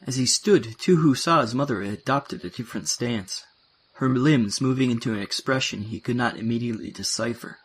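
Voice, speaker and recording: A man's voice: mid-range voice, a little fast, husky. A man reading scripted narration as the narrator of a science-fiction audio drama. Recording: faint background noise and ambience.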